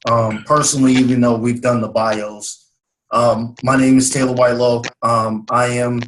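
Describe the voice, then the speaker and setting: A man's voice: mid-range voice, a little hiss or hum, rough recording. A young man leading a video call meeting as team leader, casual and filler-heavy. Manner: casual, many fillers and agreeing murmurs.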